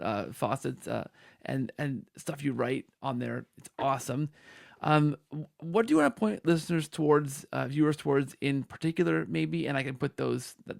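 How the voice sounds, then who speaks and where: A man with a somewhat high, noticeably creaky voice hosts an interview. He talks enthusiastically in choppy, restarting phrases, and the sound is close and dry. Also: dry recording. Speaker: podcast host. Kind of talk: conversational interview hosting.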